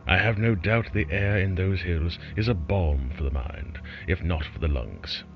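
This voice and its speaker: A man plays a stern, pompous government official in a horror audio story. The voice is low and formally measured, carrying a veiled threat. Music plays under the slightly dull recording. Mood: cold, veiled menace.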